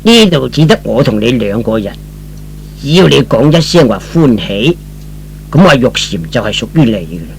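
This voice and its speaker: Man acting a coaxing older chairman, lively intonation. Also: medium pitch, moderate pace, vocal fry, a little hiss or hum, pitched below the performer's own voice. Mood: probing, coaxing. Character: probing, paternal older male company chairman. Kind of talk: old-fashioned Cantonese radio drama acting.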